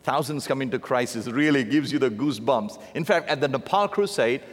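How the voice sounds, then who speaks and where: A man in his fifties with an Indian accent, preaching to a church congregation with animated asides. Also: medium pitch, fairly quick. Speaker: missionary preacher. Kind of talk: sermon. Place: large church sanctuary. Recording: long reverb of a big hall.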